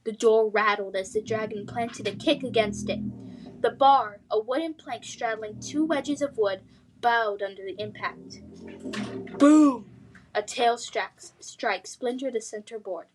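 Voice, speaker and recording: A woman narrates a children's fantasy tale aloud. Her voice sits fairly high. The delivery is dramatic and expressive, built for suspense. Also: unhurried, a little hiss or hum, rough recording.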